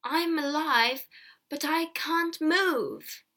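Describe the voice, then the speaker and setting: A woman voicing a grumpy, indignant fat male peddler of fifty in a storybook, speaking slowly and emphatically. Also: high voice, lively intonation, a bit bright. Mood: weak, accusing. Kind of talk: storybook character acting.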